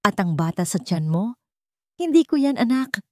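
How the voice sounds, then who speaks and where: A woman's voice: medium pitch, sing-song, moderate pace, mellow, no echo, clean studio sound, pitched above the performer's own voice, close to an everyday voice. Woman acting out a menacing, scornful male villain. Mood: mocking. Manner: taunting, threatening. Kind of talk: dramatized audio story.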